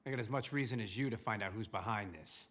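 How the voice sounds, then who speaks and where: An actor playing a resolute American general in a military game cutscene, terse and matter-of-fact. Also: male voice, fairly low pitch, quick pace, fairly bright tone, close to an everyday voice. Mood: determined. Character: gruff, resolute male American general leading his troops.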